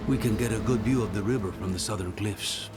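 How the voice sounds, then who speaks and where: An actor playing a watchful, inquisitive guide in a video game, talking in a probing, conversational way. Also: male voice, lowish voice, fairly quick, loud music behind the voice, close to an everyday voice. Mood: curious, watchful. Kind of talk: video game dialogue acting.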